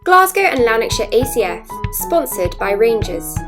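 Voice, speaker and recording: A woman hosts an awards evening, reading out categories, sponsors and winners. She speaks in a clear, formal announcing manner. Her voice sits a little high, and music plays clearly underneath. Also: sing-song, moderate pace. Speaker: awards host.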